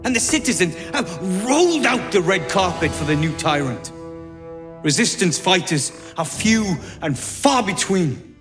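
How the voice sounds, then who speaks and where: A weary gnome resistance leader in a fantasy game. He talks in a grave, wry way, in a higher-than-usual male voice with music clearly behind him. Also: big pitch swings, unhurried. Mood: grim, wry. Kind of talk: video game acting.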